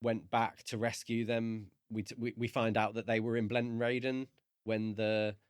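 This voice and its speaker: A man co-hosting a podcast, discussing a book casually in halting, thoughtful phrases. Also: fairly low pitch, flat delivery, clear, resonant tone, dry recording, clean studio sound. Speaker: podcast co-host.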